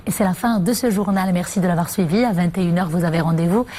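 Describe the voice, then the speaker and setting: A woman news anchor reading the evening bulletin and weather in a measured, formal way. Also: on the low side, mellow.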